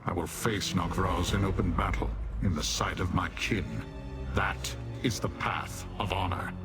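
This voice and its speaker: An actor playing a proud, honour-bound demon warrior commander in a video game, stern and declamatory. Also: male voice, sits low, steady tone, measured pace. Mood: resolute. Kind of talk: video game character acting. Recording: loud music and battle noise behind.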